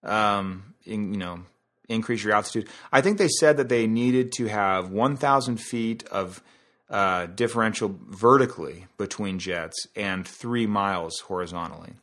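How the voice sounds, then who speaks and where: A man's voice: on the low side, measured pace, fairly bright tone. A man hosting a podcast in a casual, conversational monologue, explaining things through analogies and asides. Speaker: podcast host.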